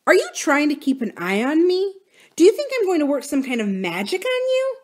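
A woman's voice: a little high, very animated, normal speed, voice pushed up. A woman voicing a stubborn, hurt young girl who speaks intensely and defiantly in an audiobook story. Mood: hurt, accusing. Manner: intense, emphatic.

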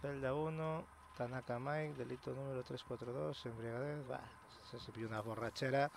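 A man's voice: mid-range voice, steady tone, normal speed, vocal fry, a little hiss or hum. A man from casually commenting a horror game playthrough, joking and reading screens aloud. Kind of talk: casual let's play commentary.

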